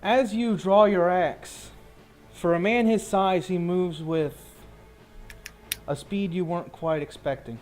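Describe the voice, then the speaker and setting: Higher-voiced man running a tabletop game. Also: slow, a little mellow, soft background music. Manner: informal, hesitant while checking rules. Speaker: game master. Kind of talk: casual tabletop roleplaying narration.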